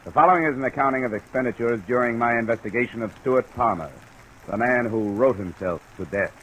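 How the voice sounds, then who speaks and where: An actor playing a wry, wisecracking American insurance investigator in a 1950s radio detective drama. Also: male voice, medium pitch, fast, a bit bright, natural voice. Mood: matter-of-fact narration. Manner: dry, wisecracking. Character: wry, brisk male insurance investigator narrating his case. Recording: noisy old radio transcription.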